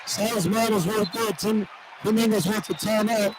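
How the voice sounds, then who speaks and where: High-voiced male basketball color commentator. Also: fairly even intonation, moderate pace. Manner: informal, opinionated, plain-spoken. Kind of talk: live basketball analysis, conversational. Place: courtside in an indoor arena. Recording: live arena broadcast with background noise. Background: faint arena noise.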